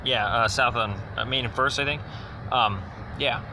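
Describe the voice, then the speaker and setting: A man speaking as a caller making an informal inquiry, explaining at length in a halting, rambling, wordy way. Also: mid-range voice. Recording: noisy phone call with outdoor traffic.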